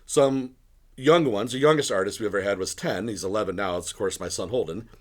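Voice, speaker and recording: A man hosting a podcast interview in casual chat, a little hoarse from bronchitis, rambling and often clearing his throat. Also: on the low side, a little fast. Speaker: podcast host.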